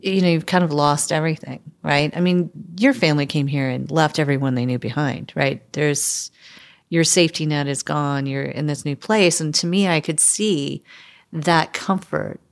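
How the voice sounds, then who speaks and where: Intervistatrice dalla voce bassa e graffiante. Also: female voice, normal speed, gravelly. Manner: engaged, follows up with questions and reflections. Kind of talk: conversational interview.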